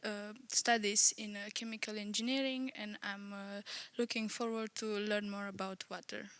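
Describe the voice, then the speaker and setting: A woman's voice: mid-range voice, level tone, moderate pace, a bit bright, dry recording. A young woman, hesitantly introducing herself. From Romania. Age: young adult.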